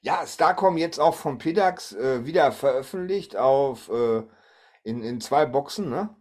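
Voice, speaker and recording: A man's voice: mid-range voice, animated, unhurried, a little mellow. A man hosting a podcast in casual chat. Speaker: podcast host.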